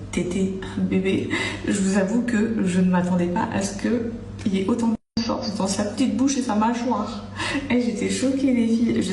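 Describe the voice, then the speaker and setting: A woman's voice: fairly low pitch, mellow. A woman vlogger telling a personal story to her followers in a candid, chatty way. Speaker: social media vlogger. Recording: roomy, faint background noise.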